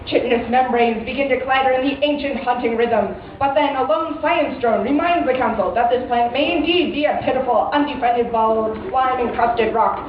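A woman narrates an audio drama in a grand, mock-serious tone, her voice pitched high. The recording is tinny and phone-like. She sounds distant in a very echoey space. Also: moderate pace, hardly any pauses, slight background noise. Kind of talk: scripted mock-epic narration.

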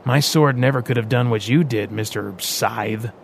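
A man's voice: mid-range voice, moderate pace, vocal fry, slight background noise, natural voice. Guy reading a joking swordsman type, dry teasing tone, pretty close to his own voice, storybook. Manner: teasing, playful. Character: wry, teasing male swordsman.

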